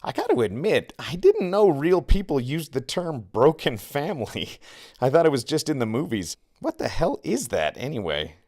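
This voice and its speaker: A man's voice: fairly high pitch, wildly swooping pitch, normal speed, a little mellow, voice pushed up, heavily acted. A man voicing a mocking, cocky older teenage boy in an audiobook, sarcastic and taunting.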